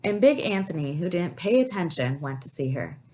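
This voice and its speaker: A woman's voice: fairly low pitch, sing-song, a little mellow, a little hiss or hum. A woman teacher reading a story aloud to children, explaining and asking questions as she goes. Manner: explanatory, pauses to ask questions. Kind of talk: picture book read-aloud with asides to young listeners.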